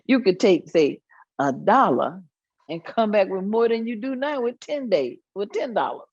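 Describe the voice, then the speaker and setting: A woman's voice: medium pitch, darkish tone, no room sound, muffled recording. An actress playing an elderly Southern grandmother in a staged reading, reminiscing in a folksy way with thoughtful hums. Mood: fond reminiscing. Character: elderly Southern grandmother recalling her midwife mother. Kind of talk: staged reading of oral history monologue.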